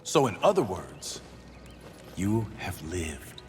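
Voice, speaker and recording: An actor playing a wise, hopeful human refugee in a video game, wry, philosophical and encouraging. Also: male voice, low voice, wildly swooping pitch, slow pace, in a large hall, over music, heavily acted. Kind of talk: video game cutscene acting.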